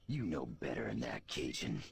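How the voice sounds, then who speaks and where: A man playing a gruff, blunt, tough mutant brawler with a gravelly voice in a video game. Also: rumbling low, sing-song, normal speed, heavily acted. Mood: blunt, dismissive. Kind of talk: video game cutscene acting.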